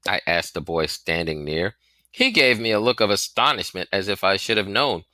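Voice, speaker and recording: A man narrates a storybook in an extremely low register. His tone is bright and forceful, with a crisp edge. The recording is dry and close, with hardly any room sound. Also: animated, moderate pace, no room sound. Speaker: audiobook reader.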